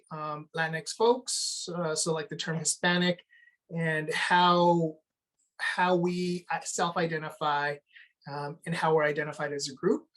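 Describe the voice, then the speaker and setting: A woman moderating a live online discussion over a video call, speaking in an unhurried, conversational way. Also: lowish voice, a bit bright. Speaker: webinar moderator.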